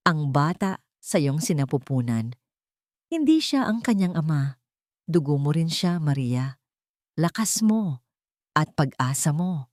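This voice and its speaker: Woman as a calm, wise old grandmother. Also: deep voice, no room sound, clean studio sound. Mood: wise, reassuring. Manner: soft, slow, soothing delivery.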